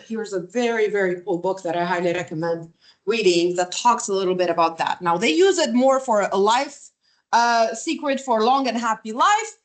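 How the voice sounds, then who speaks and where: A middle-aged woman from Lebanon giving a workshop lecture over slides on a video call, conversational, often checking in with "right?" and filler words. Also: a little high. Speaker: visiting academic presenting a workshop.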